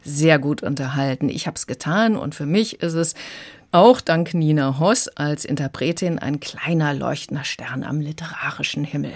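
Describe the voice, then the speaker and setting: A woman's voice: low voice, moderate pace, a little mellow. A woman working as an audiobook critic, giving prepared commentary in a radio review conversation.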